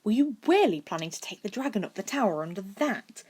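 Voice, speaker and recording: A cheeky older schoolboy, voiced by a woman, lifted above the narrator's pitch. He is an eager prankster in a storybook, informal and brisk. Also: very animated, a little mellow, slightly rough.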